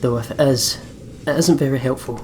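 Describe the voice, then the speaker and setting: A man's voice: medium pitch, fairly quick, darkish tone. A man hosting a let's play, chatting casually and reading visual novel narration aloud. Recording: slightly noisy home recording.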